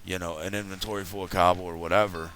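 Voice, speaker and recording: A low-voiced man talks through a game early in the morning, his voice raspy and still sleepy. His tone is bright and forward, and he rambles along in a chatty, relaxed way. A faint hiss sits under the recording. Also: fast. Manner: rambling, chatty, self-correcting. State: just woken up. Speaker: let's play host. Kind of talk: casual gameplay commentary.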